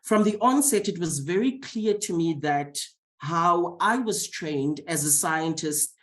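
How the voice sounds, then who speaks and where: A man with a cold, a scientist, giving a measured, formal talk on a panel over a video call. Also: on the high side, animated, a bit bright. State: has a cold. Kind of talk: prepared talk.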